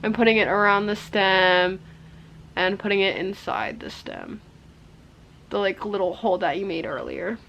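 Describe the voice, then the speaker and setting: A young woman giving a chatty, rambling makeup tutorial, her voice a little hoarse from a cold. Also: medium pitch, normal speed, fairly bright tone, dull audio, slight background noise. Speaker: makeup vlogger.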